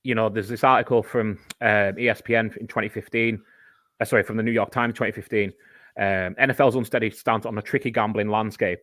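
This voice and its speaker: A man's voice: lowish voice, steady tone, fairly quick, a bit bright, no echo. Man talking sports in a dry room. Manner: conversational, explains at length with examples. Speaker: podcast guest. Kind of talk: casual podcast chat.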